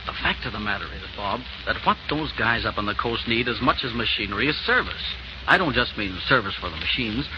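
A man's voice: on the low side, expressive, brisk, natural voice. An actor playing a practical, wry male friend with a teasing, matter-of-fact manner in 1940s radio style. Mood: businesslike. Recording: noisy, muffled 1940s radio recording.

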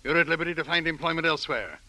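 A man's voice: on the high side, rapid-fire, pushed and bright. An actor playing a stern, condescending, wealthy older man, formal and righteous, in 1940s radio drama style. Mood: indignant.

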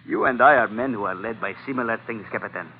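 A male actor playing a sly, insinuating gangster of about thirty with a Spanish accent and halting English in a 1940s-style radio drama. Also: fairly low pitch, breathy edge. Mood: sly, friendly persuasion. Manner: insinuating, chuckling, halting foreign phrasing. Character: sly, chuckling gangster lieutenant, man of thirty-one. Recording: tinny, faintly noisy old radio recording.

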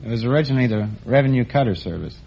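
A man's voice: mid-range voice, lively intonation, racing, vocal fry, mellow. A man in his twenties, a quiz contestant giving brief, earnest answers. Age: 20s. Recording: dull old broadcast with background noise.

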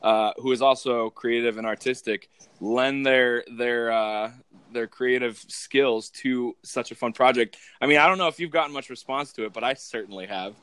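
A man's voice: mid-range voice, moderate pace, fairly bright tone, smooth, dry recording. Man hosting a faith podcast, dry sound. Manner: rambling, hesitant with many fillers. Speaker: podcast host and pastor. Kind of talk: casual podcast chat.

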